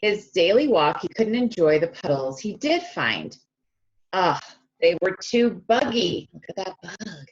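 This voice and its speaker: A woman reads aloud for children in an unhurried, very animated way. She stretches important words and talks directly to her young listeners, asking them questions. The recording is a little muffled and lo-fi. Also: fairly low pitch. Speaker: speech-language pathologist reading to children.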